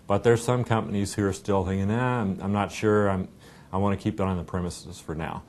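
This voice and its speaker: A middle-aged American man giving a conversational, explanatory software product demonstration in an informal way. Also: deep voice, fairly even intonation, fairly quick, slight background noise. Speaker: software company representative giving a product demo. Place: indoor meeting room. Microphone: lapel mic.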